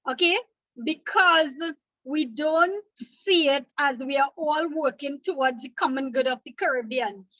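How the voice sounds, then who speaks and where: Trinidadian woman teacher, high bright voice, patient revision lecture, dry muffled recording. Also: no room sound. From Trinidad and Tobago. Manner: explanatory, repeats points, checks in with "okay?".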